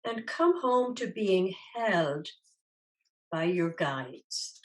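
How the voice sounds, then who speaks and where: Slow-speaking woman guiding a meditation. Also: fairly low pitch, expressive, poor audio. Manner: soothing, unhurried, gently instructing with long pauses. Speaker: meditation teacher. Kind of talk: guided meditation.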